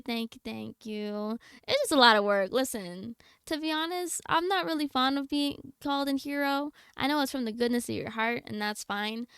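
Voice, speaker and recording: A woman's voice: a little high, gravelly, fairly bright tone, clear, resonant tone, no room sound, clean studio sound. A young adult woman livestreamer chatting casually with her viewers in a slangy, blunt, candid way. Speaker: game livestreamer.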